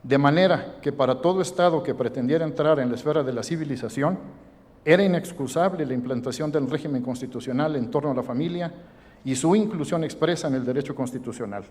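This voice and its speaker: A man reading a prepared formal speech and introducing the next speaker, measured and formal. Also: fairly high pitch, a little mellow. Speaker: event presenter. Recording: reverberant hall.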